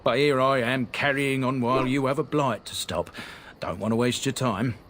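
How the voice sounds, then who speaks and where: A man's voice: mid-range voice, moderate pace, fairly bright tone, a little hiss or hum, close to an everyday voice. A man playing a chatty old trader in a fantasy game. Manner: chatty, folksy, rambling. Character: older male traveling trader, chatty and folksy. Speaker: merchant character in a video game. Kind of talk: voiced game dialogue. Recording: game audio over background music. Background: game soundtrack music.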